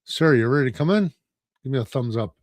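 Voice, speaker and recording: A man's voice: medium pitch, expressive, quick pace, dry recording, studio quality. A man co-hosting a casual talk show, chatty and loose, distrustful of the media. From Canada. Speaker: podcast co-host.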